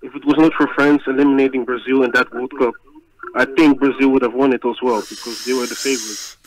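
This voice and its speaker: A man from the Netherlands giving his sports opinion as a caller to a live show, in measured, halting phrases. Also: medium pitch, flat delivery, poor audio. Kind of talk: phone-in opinion.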